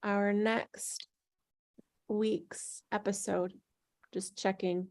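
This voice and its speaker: A woman hosting a casual group chat in a relaxed conversational way. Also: mid-range voice, drawn-out words. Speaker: host.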